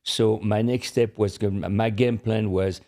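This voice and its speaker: A man's voice: lowish voice, fairly even intonation, a little slow, darkish tone. An older man from France with a French accent, speaking frankly as a podcast co-host and repeating words as he searches for English. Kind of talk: casual chat.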